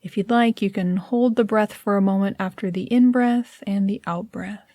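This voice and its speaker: A woman's voice: mid-range voice, fairly even intonation, dark tone, dry recording. Soothing woman guiding meditation, dry close sound. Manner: soothing, measured, instructional. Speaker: meditation guide. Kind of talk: scripted guided meditation narration.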